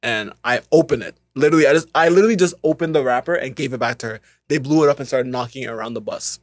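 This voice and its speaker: A young man, a podcast co-host, telling casual stories with animated voices for the people he quotes. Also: mid-range voice, very fast, dry recording. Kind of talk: casual storytelling.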